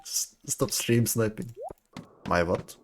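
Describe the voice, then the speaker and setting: A man's voice: on the low side, slow, background noise. A man chatting casually while playing on a stream, thinking aloud somewhat hesitantly. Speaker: gamer on a group stream. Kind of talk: casual chat while gaming. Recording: music behind the voice. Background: music.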